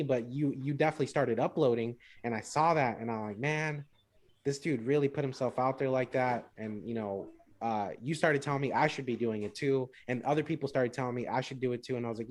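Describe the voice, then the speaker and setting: Man, podcast co-host, casual rambling delivery, repeats himself, drops Spanish phrases, mid pitch. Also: brisk, a little mellow. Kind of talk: casual chat.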